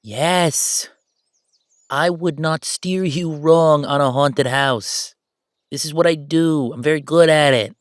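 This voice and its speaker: A man playing a bossy, playful yet sentimental ghost roommate in a conversational roleplay audio drama, with animated, teasing banter. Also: on the high side, slow pace, no room sound, studio quality. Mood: bossy, confident.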